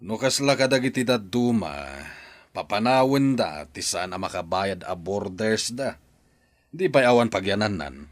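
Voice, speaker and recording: A man's voice: lowish voice, animated, a little slow. A man playing a practical, grumbling husband of a landlady in an Ilocano drama. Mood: disapproving. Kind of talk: Ilocano radio-style comedy drama acting.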